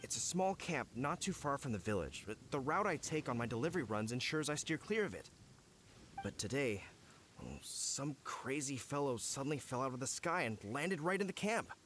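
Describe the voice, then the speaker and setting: A man's voice: medium pitch, big pitch swings, normal speed, a bit bright, slight background noise. A man voicing a fretful, dramatic delivery villager in a video game. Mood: distressed. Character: flustered, fretful male fruit-cart delivery villager. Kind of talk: video game character voice acting.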